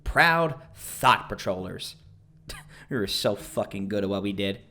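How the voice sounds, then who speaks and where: Audio drama: young male online creep, self-pitying, boastful, theatrical, very animated delivery. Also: lowish voice, unhurried, heavily acted. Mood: smug, fervent. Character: bitter, boastful young male internet misogynist, pleading then ranting.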